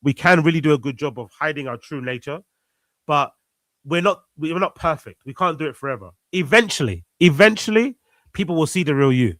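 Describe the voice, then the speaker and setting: A man's voice: on the high side, expressive, a little fast, fairly bright tone, no echo. A man in a casual conversation, earnest and reflective, repeating phrases for emphasis. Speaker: podcast guest.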